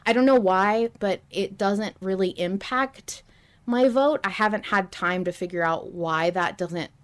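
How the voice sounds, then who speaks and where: A woman's voice: mid-range voice, a little slow. A woman hosting a podcast in casual discussion, thinking aloud and hedging her opinions as she goes. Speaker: podcast host.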